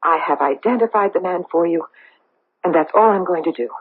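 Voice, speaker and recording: Husky, guarded socialite woman. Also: fairly low pitch, fairly quick. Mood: guarded, agitated. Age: middle-aged. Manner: hesitant, evasive, defensive. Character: guarded socialite woman hiding her past, anxious, defensive. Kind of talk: 1970s radio drama acting. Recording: tinny, band-limited old radio broadcast.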